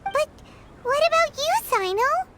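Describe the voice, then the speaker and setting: Very high, squeaky little fairy girl. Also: lively intonation, a little slow, heavy background noise. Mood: concerned. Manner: exclamatory, wide-eyed, inquisitive. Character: tiny squeaky, excitable childlike female fairy companion. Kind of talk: scripted video game dialogue.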